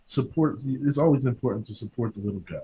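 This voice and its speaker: A man's voice: medium pitch, fast, dark tone, poor audio. A man hosting a podcast in casual conversation, emphatic and given to repeating his phrases, with some background noise behind him. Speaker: podcast host. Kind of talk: casual interview chat.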